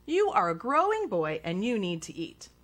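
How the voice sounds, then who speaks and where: A storybook mom, caring but firm, speaking in a matter-of-fact voice, as a woman reads her aloud. She sounds close to the reader's own warm, lively tone. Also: medium pitch, moderate pace.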